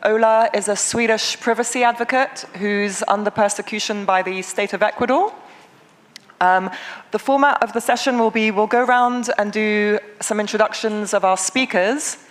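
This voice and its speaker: A woman from England, moderating a conference panel in a brisk, friendly way with frequent ums. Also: medium pitch, fairly even intonation. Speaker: session moderator.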